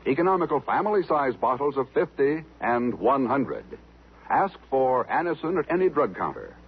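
A man with an American accent making an emphatic, deliberate sales announcement as a 1950s radio announcer. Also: medium pitch, big pitch swings, breathy edge. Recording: narrow tinny old radio recording.

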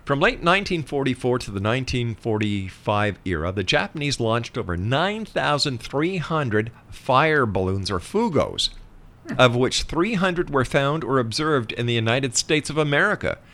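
A man's voice: medium pitch, sing-song, normal speed, a bit bright. A man hosting a radio interview, explaining things emphatically in a conversational way and at times reading out facts.

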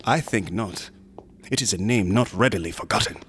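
An actor playing a smug, unctuous museum assistant in a horror radio drama, courteous and insinuating, sometimes dropping into whispers. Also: male voice, on the low side, normal speed, a bit bright, slight background noise, natural voice. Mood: menacing. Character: sinister, smug, unctuous museum assistant guarding macabre exhibits.

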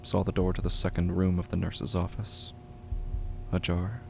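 Low, gravelly man narrating horror. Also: monotone, normal speed, darkish tone. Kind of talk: scripted horror story narration. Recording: noisy track with low rumble.

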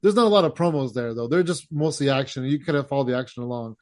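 An American man hosting a casual interview, easygoing and quick to agree. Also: mid-range voice, no room sound, studio quality. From United States. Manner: easygoing, says "hell yeah", laughs along. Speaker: host.

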